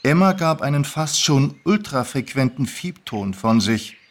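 A male narrator with a medium-pitched voice. He reads a story aloud in an expressive, scripted audiobook style. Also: unhurried, vocal fry, slight background noise.

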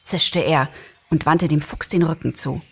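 A woman narrating a story in a lively, dramatized reading. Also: low voice, unhurried, gravelly, slightly rough. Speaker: narrator and reader.

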